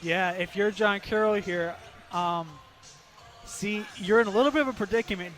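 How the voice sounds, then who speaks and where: High male voice, basketball radio analyst, conversational, explanatory live commentary. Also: moderate pace, fairly bright tone, faint music behind. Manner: conversational, explaining game strategy. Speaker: color commentator.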